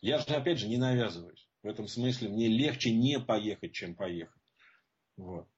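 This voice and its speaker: Husky man explaining his view informally. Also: lowish voice, lively intonation, moderate pace. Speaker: guest speaker at support group seminars. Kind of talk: informal spoken answer to a question. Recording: dull lo-fi audio.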